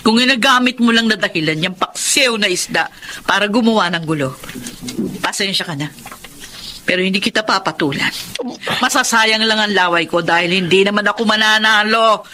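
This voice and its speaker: A woman playing a long-suffering, sharp-tongued older mother in a radio drama, scolding and world-weary. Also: medium pitch, moderate pace, long pauses, a little hiss or hum, natural voice. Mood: exasperated. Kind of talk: Tagalog radio drama acting.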